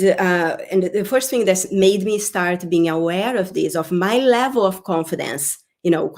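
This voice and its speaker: A woman from Brazil speaks English with a Brazilian Portuguese accent, her voice sitting in a middle register. She hosts a live online workshop in an effusive manner and repeats words like "beautiful" and "welcome". She sounds middle-aged. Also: mid-range voice. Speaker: workshop host. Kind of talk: live-stream workshop talk.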